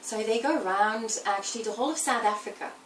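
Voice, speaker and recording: A woman in her forties from Belgium, talking in a relaxed interview and thinking aloud with fillers and restarts. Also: a little high, fast talker. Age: 40s. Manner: thoughtful, with fillers and self-corrections. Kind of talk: casual interview. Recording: roomy, distant mic with faint noise.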